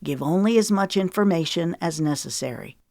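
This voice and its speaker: A woman voicing a calm man giving orders. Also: lowish voice, a little fast, creaky, dry recording, clean studio sound. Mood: cautioning. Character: cautious man giving firm instructions.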